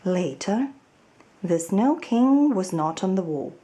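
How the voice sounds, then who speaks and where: A woman reads a children's story in a soft, mellow voice. Her pace is slow and measured, and her intonation rises and falls expressively as she narrates. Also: medium pitch, expressive. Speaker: storybook reader.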